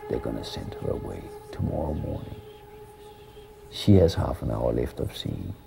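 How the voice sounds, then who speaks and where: A man telling a first-person story in a hushed, breathy whisper. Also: a bass voice, sing-song, moderate pace, noisy background.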